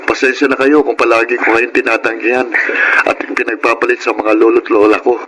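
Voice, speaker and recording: An actor playing an earnest young grandson. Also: male voice, medium pitch, little pitch movement, a little fast, close to an everyday voice. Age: young adult. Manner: earnest, soft-spoken storytelling. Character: devoted young man, gentle grateful grandson. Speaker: grandson who works in the city. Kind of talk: radio-style audio drama acting. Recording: noisy audio drama track.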